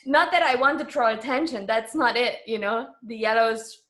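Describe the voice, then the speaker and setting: Higher-voiced woman from Germany, chatting candidly. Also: normal speed, fairly bright tone. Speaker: interview guest. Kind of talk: casual interview chat.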